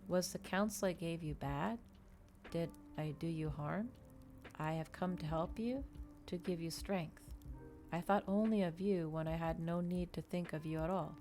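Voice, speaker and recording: A woman voicing a brooding, courteous male vampire in a soft, slow, melancholy manner for an audiobook. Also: lowish voice, faint music behind, natural voice. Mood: earnest, pleading.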